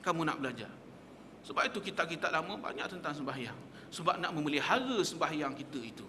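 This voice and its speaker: Forceful high-voiced preacher. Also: male voice, expressive, fast, long reverb tail, a little hiss or hum. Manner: emphatic, rhetorical questions, quotes Arabic texts. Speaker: religious teacher. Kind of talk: mosque lecture. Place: prayer hall of a small mosque.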